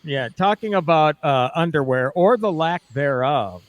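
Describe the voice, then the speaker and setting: A talkative man with a fairly high voice, chatting on a radio show. He keeps restarting his sentences and talks it all through. The studio sound is dry and close. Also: lively intonation, unhurried, no echo. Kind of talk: casual radio chat.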